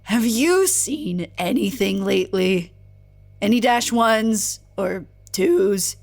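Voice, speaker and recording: A woman's voice: medium pitch, lively intonation, takes it slowly, gravelly, heavily acted. A woman acting in an audio drama as a blunt, restless worker with an informal, grumbly way of talking. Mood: bored, grumpy.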